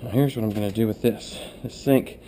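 A man with a fairly low voice talks through a job as he does it, like a trainer. His delivery is casual, plain and practical. The action-camera audio has clear noise and room ambience around him. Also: fairly even intonation, fairly quick. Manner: plain, practical, talking while working. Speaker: cleaning trainer. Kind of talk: casual hands-on instructional narration. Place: small workplace kitchen, indoors. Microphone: body-worn or head-mounted camera.

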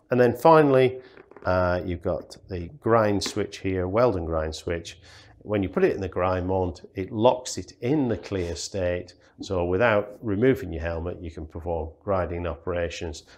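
A man's voice: deep voice, vocal fry. A man demonstrating welding safety equipment in a studio, explaining plainly with many ums and uhs. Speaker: product demonstrator. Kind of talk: product demonstration. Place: studio with green table, indoors.

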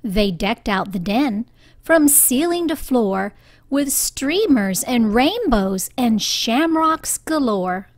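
A woman reading a children's story aloud with deliberate, expressive phrasing. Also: on the high side, no echo, studio quality. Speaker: storybook reader. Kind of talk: children's read-aloud narration.

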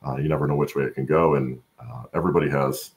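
A middle-aged American man with a gravelly voice, chatting as an interview guest and often checking in with "right?". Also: rumbling low, steady tone, quick pace, darkish tone, slight background noise. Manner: tags phrases with "right?". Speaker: guest, supply chain professional. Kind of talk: casual conversational interview. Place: home room, on video call. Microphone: video call.

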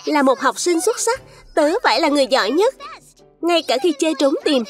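High-pitched, self-important little strawberry pupil. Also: female voice, big pitch swings, fairly quick, faint music behind. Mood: proud, insistent. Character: vain, stubborn little strawberry pupil, a sore loser. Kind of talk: children's cartoon dubbing.

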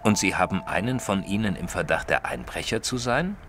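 An actor playing a detective's earnest, puzzled companion, narrating in the first person in a German audio drama in a measured, literary manner. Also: male voice, deep voice, expressive, fairly bright tone, soft background music. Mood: earnest, curious. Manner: measured, formal, literary storytelling.

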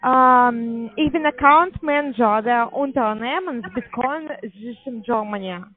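A woman with a foreign accent, an account manager giving a hesitant, halting sales pitch in broken sentences. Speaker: call center account manager. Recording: band-limited phone-call audio.

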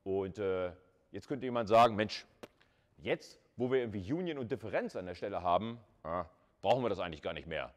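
Low-pitched male lecturer, colloquial delivery. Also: animated, a little fast. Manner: colloquial, conversational, thinking aloud. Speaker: university lecturer. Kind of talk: informal lecture with slides and questions to students.

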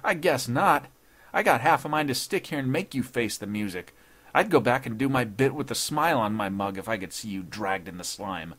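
Man acting a gruff, sneering escaped convict. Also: lowish voice, normal speed, fairly bright tone. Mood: gloating, jeering. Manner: rough slangy tough talk.

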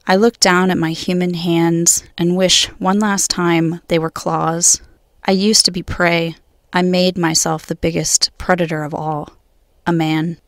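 A woman poet reading her own poems in a measured, solemn way. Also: on the low side, level tone, no room sound, studio quality. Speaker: guest poet. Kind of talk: poetry reading.

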